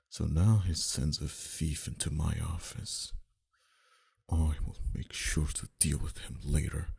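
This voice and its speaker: A man playing a smug, flirtatious and menacing mafia boss in an intimate ASMR roleplay, dominant and teasing, sometimes dropping to a breathy whisper. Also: full character voice. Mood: cold, controlled. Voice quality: very deep, dark, husky.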